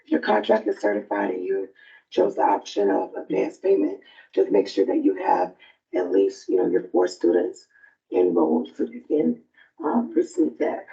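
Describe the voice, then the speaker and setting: A woman with a soft, raspy voice presenting updates at a provider meeting in a friendly, loose way. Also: very deep voice, huge pitch swings, normal speed, dull audio. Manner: friendly, loose, with frequent fillers. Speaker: early learning coalition staff presenter.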